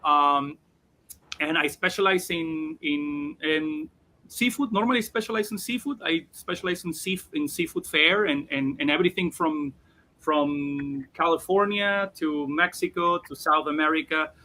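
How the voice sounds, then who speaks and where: A male chef introduces himself on a live video call and keeps circling back and restarting his sentences. His voice is a little high for a man and has a bright, forward tone. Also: unhurried, a little hiss or hum. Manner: rambling, with many restarts and fillers. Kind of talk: casual live video chat.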